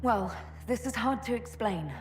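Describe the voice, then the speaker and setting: An actress playing an earnest, compassionate heroine in a video game, sincere and reassuring. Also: female voice, fairly high pitch, expressive, measured pace, darkish tone. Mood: concerned. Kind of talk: dramatic video game cutscene acting. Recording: echoey hall, faint noise.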